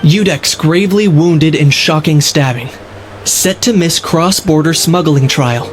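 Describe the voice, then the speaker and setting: A man playing a level-headed, dryly wry young man in a video game, speaking in a measured, matter-of-fact way. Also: fairly high pitch, noisy background, natural voice. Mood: musing, dry. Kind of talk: English video game voice acting. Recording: game music behind. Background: game music.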